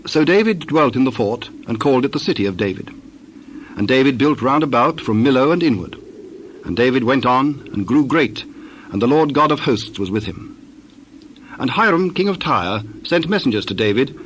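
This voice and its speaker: A man narrating scripture from a script in a measured, formal manner. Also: medium pitch, fairly bright tone, a little hiss or hum.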